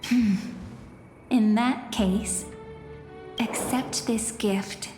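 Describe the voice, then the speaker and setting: A woman voicing a gentle, mystical young oracle in a fantasy game, with a ceremonious manner. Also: a little high, fairly even intonation, very slow. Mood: solemn, tender. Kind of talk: dubbed fantasy game voice acting. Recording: long reverb, faint music behind.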